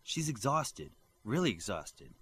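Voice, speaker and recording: A man's voice: lowish voice, big pitch swings, measured pace, fairly bright tone, breathy edge, full character voice. A man voicing a caring, inquisitive young female superhero in an audiobook story. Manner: inquisitive, concerned.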